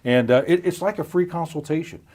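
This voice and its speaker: A man's voice: on the high side, fairly quick, a little mellow. Man, middle-aged, chatty moderator, folksy, live stream host. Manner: chatty, folksy, with frequent restarts and laughs. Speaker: moderator of a live health chat. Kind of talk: live-streamed hosted interview.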